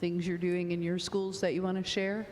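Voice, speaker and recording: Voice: medium pitch, flat delivery, moderate pace. A panel moderator heard from across a large hall, with a long reverb and a faint background hum. The moderator keeps things upbeat and jokey while calling for questions.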